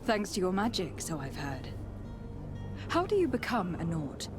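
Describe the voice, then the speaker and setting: A woman's voice: lowish voice, huge pitch swings, moderate pace. An actress playing a polite, composed young noblewoman in a fantasy game, courteous and formal as she speaks. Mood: courteous, curious. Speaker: voice actor in a video game. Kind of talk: scripted fantasy game dialogue. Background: music.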